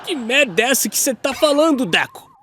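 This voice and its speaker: A man's voice: very high-pitched, sing-song, brisk, bright, forceful tone, heavily acted. A man voicing a hot-tempered, foul-mouthed teenage anime boy in a cartoon debate, brash, impatient and emphatic. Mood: outraged. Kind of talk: animated fan debate, dubbed cartoon acting. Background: music.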